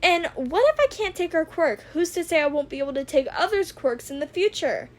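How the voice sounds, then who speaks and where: A woman voicing an eager, excitable teenage boy inventor in a fan audio drama, speaking in an earnest, emphatic way. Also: very high voice, a little fast, voice pushed up. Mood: agitated. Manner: emphatic, earnest, easily worked up.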